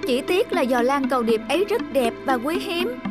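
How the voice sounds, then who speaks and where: An earnest, polite youngster in a children's cartoon. The voice is very high and speaks like a keen young friend, with music loud behind it. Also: female voice, lively intonation, moderate pace. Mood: hesitant, concerned.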